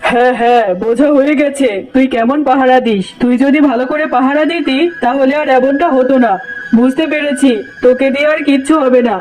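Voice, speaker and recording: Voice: high voice, steady tone, a little fast, a little mellow, close to an everyday voice, poor audio. An actor voicing a bossy male tiger uncle in a cartoon story, blunt and commanding yet helpful. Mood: scolding.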